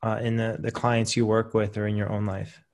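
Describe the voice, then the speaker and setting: A man's voice: lowish voice, little pitch movement, brisk, vocal fry. A man hosting a coaching podcast, introducing his guest with many fillers and answering her with affirming remarks. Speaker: podcast host, coach trainer.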